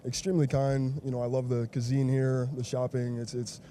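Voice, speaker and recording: Very mellow American man, relaxed. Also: mid-range voice, brisk, slight background noise. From United States, Chicago. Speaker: tourist interviewee. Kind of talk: casual street interview answers.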